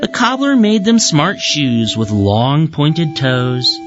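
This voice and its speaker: Animated storybook narrator, slightly muffled, over music. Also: mid-range voice. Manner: expressive, deliberate storytelling for young learners. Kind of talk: scripted children's read-aloud narration. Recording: muffled lo-fi audio with music behind.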